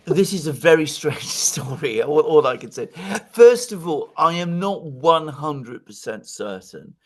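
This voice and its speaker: A man's voice: a little high, expressive, measured pace. A man giving conversational political analysis as a guest commentator, speaking emphatically and repeating words for stress.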